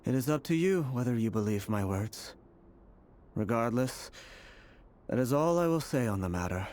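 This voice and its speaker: An actor playing a grave, mysterious, weary prisoner in a video game, speaking solemnly and deliberately. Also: male voice, mid-range voice, lively intonation, darkish tone, close to an everyday voice. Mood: solemn, warning. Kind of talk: scripted video game dialogue.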